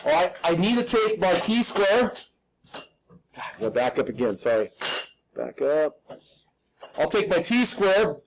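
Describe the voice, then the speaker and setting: A man with a slightly raspy voice teaching drafting in a live step-by-step demonstration, informal and folksy, often checking that everyone follows. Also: high voice, sing-song, unhurried, pauses often. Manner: instructional, checks in often with "okay?"; informal, folksy, self-deprecating.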